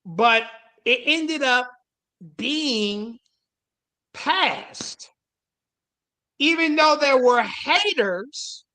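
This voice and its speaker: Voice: high voice, pushed and bright, low-quality recording. A panel host speaking casually and emphatically, with plenty of profanity and street slang. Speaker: host moderating a panel call.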